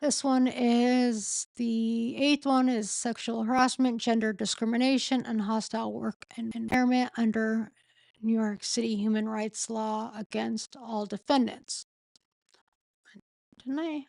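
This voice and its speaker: Slow-talking woman with a sore voice. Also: fairly high pitch, fairly even intonation, vocal fry, darkish tone, no echo. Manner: slow, halting reading with pauses and self-corrections. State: losing her voice, sore throat. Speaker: streamer reading a court filing. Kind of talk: casual late-night live stream.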